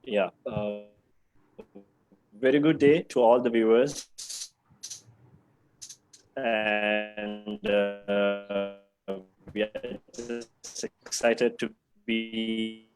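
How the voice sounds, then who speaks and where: A man from Sri Lanka, a university staff member, speaking slowly on a video call with many pauses. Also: fairly low pitch, steady tone, music in the background, rough recording. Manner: slow, halting, many pauses.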